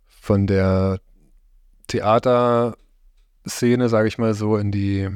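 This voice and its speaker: A middle-aged man from Germany with a low, flat, mellow voice. He talks in relaxed conversation, hesitates often and searches for his words. The recording is close and dry. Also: dry recording. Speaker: interviewee, farm host.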